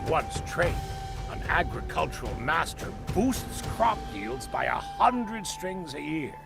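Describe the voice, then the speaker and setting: An actor playing a bitter, hard-nosed senior craftsman in a video game, speaking in a scornful, deliberate, theatrical way. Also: on the high side, very animated, creaky, music in the background, exaggerated voice. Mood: cold, bitter. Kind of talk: dramatic video game voice acting.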